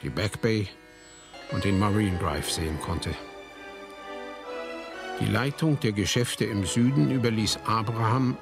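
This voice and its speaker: A man's voice: fairly low pitch, measured pace, gravelly, pauses often, over music. A man narrating a literary radio drama from a script.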